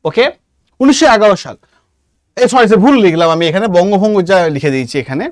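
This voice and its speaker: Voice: medium pitch, very animated, quick pace, dry recording, studio quality. A teacher with an Indian Bengali accent giving an online exam lesson, explaining emphatically and often checking understanding with short questions. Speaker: exam-prep history teacher.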